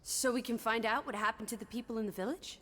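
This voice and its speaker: A woman's voice: a little high, steady tone, fast talker, a bit bright. An actress voicing a cheeky, playful young woman of the 1920s in a video game, teasing and breezy, full of period slang.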